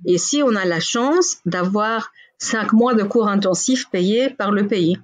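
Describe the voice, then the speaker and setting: Woman, 40s, from Belgium, interview guest; husky, frank, chatty, filler-heavy. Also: medium pitch, a little slow. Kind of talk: casual interview talk.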